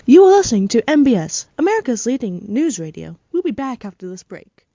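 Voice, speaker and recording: Lively radio news anchor. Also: female voice, a little high, a little fast, no room sound, dull audio. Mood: formal, composed. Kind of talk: scripted radio news bulletin.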